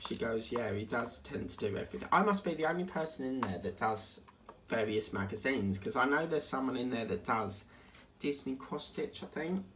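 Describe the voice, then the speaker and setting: A man's voice: medium pitch, expressive, normal speed, fairly bright tone, a little hiss or hum. A man with an Essex accent tinged with South African, chatting in a rambling way about his day as he works at his craft table. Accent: Essex English with a South African tinge. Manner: chatty, digressive, hums and sings to himself. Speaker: crafting channel host. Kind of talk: rambling casual chat while working.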